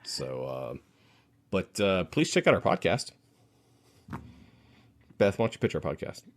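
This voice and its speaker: A man's voice: low voice, big pitch swings, measured pace. A man co-hosting a podcast in casual, rambling, joking banter. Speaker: podcast co-host. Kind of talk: casual chat.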